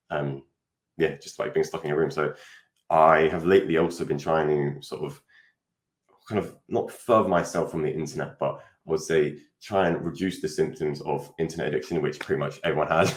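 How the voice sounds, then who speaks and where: Young guy from the uk, super low voice, rambly with lots of ums, roomy echo. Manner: rambling, hesitant, lots of fillers. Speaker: guest. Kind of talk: casual interview chat. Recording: echoey room.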